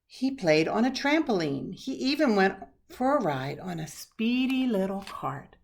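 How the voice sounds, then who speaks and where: A woman reading a children's picture book aloud expressively, with dramatic pauses and hushed asides. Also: medium pitch, big pitch swings, gravelly. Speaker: storybook reader.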